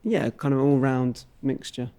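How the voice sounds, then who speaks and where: A man's voice: mid-range voice, a little slow, dark tone. Casual, thoughtful man. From England. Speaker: folk musician, singer and guitarist. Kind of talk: casual interview chat.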